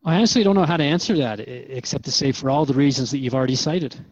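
A man's voice: medium pitch, lively intonation, very fast, a little mellow, no echo, muffled recording, close to an everyday voice. A man playing an earnest, searching radio host in a drama, asking questions in a thoughtful, conversational, hesitant way. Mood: thoughtful, unsure. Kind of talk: radio drama interview dialogue.

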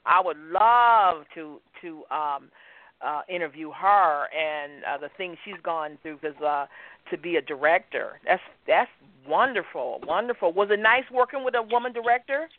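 An enthusiastic woman hosts an interview, often pausing with "um" while she hunts for the right words. Her voice comes through thin and phone-like, completely dry. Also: lowish voice, dry recording. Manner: enthusiastic, searching for words with many "um"s. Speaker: host. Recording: tinny phone-like sound, dry.

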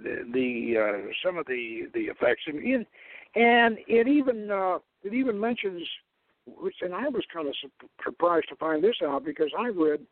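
A man hosting a radio talk show, lecturing in a deliberate, halting way. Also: sits high, big pitch swings, no room sound. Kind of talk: talk radio lecture, partly read aloud. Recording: tinny, phone-like radio line.

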